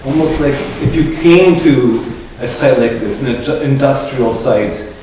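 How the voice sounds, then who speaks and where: Male curator, mid-pitched, reflective talker, distant mic in a noisy gallery. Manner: measured, reflective, searching for words. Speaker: curator hosting a gallery talk. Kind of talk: public talk introducing an artist. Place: large echoey gallery room. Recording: echoey gallery room from far off with ambient noise.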